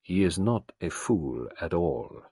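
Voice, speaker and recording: A man voicing a grave, cynical middle-aged French doctor in a storybook reading, deliberate and world-weary. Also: lowish voice, very animated, husky, no echo, studio quality, exaggerated voice. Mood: gentle, grave.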